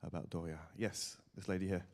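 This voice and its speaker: A man's voice: low voice, fairly even intonation, fairly quick, darkish tone. A man hosting a live audience Q&A, who talks casually with hedges and fillers as he takes questions from the floor. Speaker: host and moderator.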